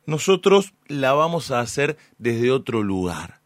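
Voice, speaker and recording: Male host, Argentine accent, slow deliberate phrasing, conversational interview questions, dry studio-like closeness. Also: medium pitch, sing-song, dry recording.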